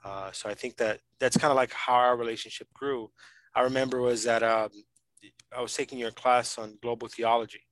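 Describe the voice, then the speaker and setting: A man's voice: medium pitch, steady tone, a bit bright, no echo, rough recording. Earnest Brazilian-born man, dry sound. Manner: earnest, fast, many fillers and restarts. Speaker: podcast host, PhD student. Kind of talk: casual conversational interview.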